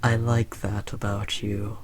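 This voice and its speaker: Man playing a slow-talking, smug alien overlord. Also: fairly low pitch, little pitch movement. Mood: patronizing fondness. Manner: slow, taunting, patronizing praise. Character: smug, condescending alien overlord conqueror, fond of a human servant. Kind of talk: scripted audio roleplay addressed to the listener.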